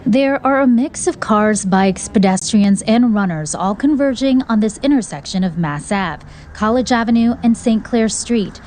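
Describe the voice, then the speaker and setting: A woman's voice: a little high, expressive, normal speed, hardly any pauses, a little mellow, slight background noise. An American woman narrating a scripted television news report as a field reporter.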